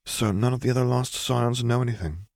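A man's voice: mid-range voice, brisk, gravelly, darkish tone, no room sound, clean studio sound, voice pushed up, close to an everyday voice. A man voicing a cool young male wizard in an audiobook, understated, controlled and threatening. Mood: cold, probing.

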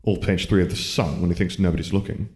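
A man's voice: deep voice, fast talker, darkish tone, slightly rough. A man reading the lines of a cocky teenage boy wizard in an audiobook, dry and sarcastic. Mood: breezy.

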